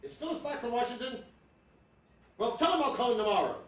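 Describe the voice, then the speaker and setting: A man's voice: extremely high pitch, expressive, fast, a bit bright. A man playing a hot-tempered, sputtering middle-aged father and businessman in a live stage radio play. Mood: impatient. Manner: sputtering, exasperated. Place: theatre stage, live performance. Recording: echoey stage hall from far off.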